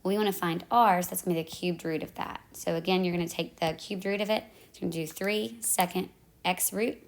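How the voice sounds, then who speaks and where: A woman's voice: lowish voice, steady tone, a little fast, creaky. A woman teacher who is losing her voice, walking through math problems step by step and correcting herself as she goes. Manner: talks through calculator steps, self-correcting. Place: at home in the evening.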